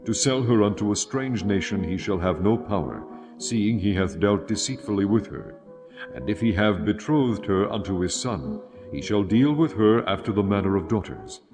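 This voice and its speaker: Low-voiced solemn man narrating over music. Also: lively intonation. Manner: solemn, measured phrasing. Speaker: audio Bible narrator. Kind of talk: dramatic scripted scripture narration.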